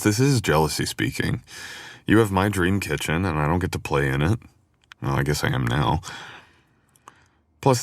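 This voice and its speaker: Very deep-voiced, teasing boyfriend played by a man. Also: no room sound, studio quality. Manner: playful, teasing, intimate. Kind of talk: boyfriend ASMR roleplay audio acting.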